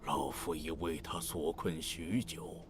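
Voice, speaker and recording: An actor playing an elderly, cryptic man in a video game, speaking in measured, archaic and gravely formal phrases. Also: extremely low pitch, steady tone, noisy background. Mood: ominous. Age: older. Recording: game music behind the voice.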